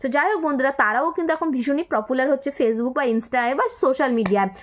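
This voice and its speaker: A woman's voice: high-pitched, brisk. A woman hosting a casual commentary video, talking straight to viewers, repeating words for emphasis and mixing in English phrases. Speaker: video host commenting on viral clips.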